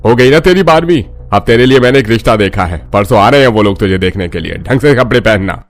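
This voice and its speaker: A man's voice: on the low side, lively intonation, fast talker. A man voicing a domineering, angry middle-aged father in a family cartoon, commanding and scolding. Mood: stern. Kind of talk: Hindi cartoon family drama acting.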